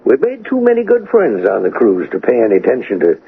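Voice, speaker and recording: Creaky-voiced, teasing older American husband. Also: male voice, mid-range voice, huge pitch swings, fast talker. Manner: folksy, teasing, mildly exasperated. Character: teasing, good-humoured older husband on a cruise. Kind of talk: 1980s radio drama acting. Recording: tinny, faintly noisy old radio recording.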